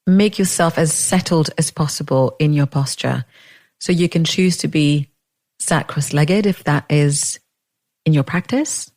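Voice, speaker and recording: A woman leading a guided meditation in a gentle, unhurried way, leaving long pauses between phrases. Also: sits low.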